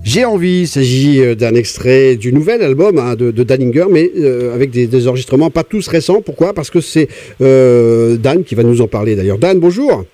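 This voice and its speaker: A man's voice: mid-range voice, animated, normal speed, hardly any pauses, warm tone, no echo, faint music behind. A man hosting a conversational radio interview, often repeating words as he builds his questions. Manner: hesitant, repeats words while forming questions. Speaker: radio host interviewing a musician.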